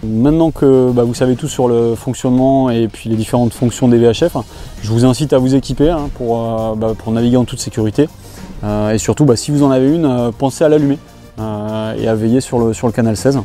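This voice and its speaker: A man's voice: mid-range voice, fairly quick, mellow, faint music behind. A man gives an informal, step-by-step equipment demonstration, explaining in a hesitant, unscripted way as a tutorial presenter.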